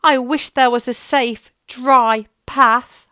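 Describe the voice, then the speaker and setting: A woman's voice: high voice, fairly even intonation, takes it slowly, sharp tone, clear, resonant tone, no room sound, clean studio sound, voice pushed up, full character voice. A woman voicing a miserable storybook dog with a plaintive, pleading manner. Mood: distressed.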